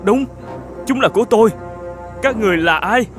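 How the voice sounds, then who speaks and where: An actor playing a kind, humble older shepherd in an animated folk tale, speaking politely and gently. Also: male voice, high-pitched, normal speed, music in the background. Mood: frightened, pleading. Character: kind, humble older shepherd, hospitable, fearful under threat.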